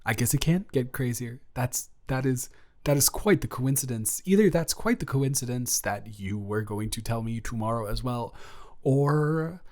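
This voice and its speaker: A man playing a bashful, halting lovestruck guy. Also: mid-range voice, darkish tone, lightly acted. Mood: surprised, shy. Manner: halting, stammering, giggly, self-deprecating. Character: flustered, lovestruck young man confessing to best friend. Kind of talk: improvised romantic audio roleplay, spoken softly to a listener.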